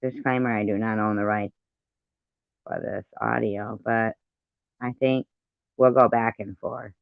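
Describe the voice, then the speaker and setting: A man's voice: on the low side, fairly even intonation, measured pace, creaky, no echo. A man hosting a casual commentary, reacting in a blunt, indignant way. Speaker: host of a commentary stream. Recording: narrow tinny phone-like sound, dry.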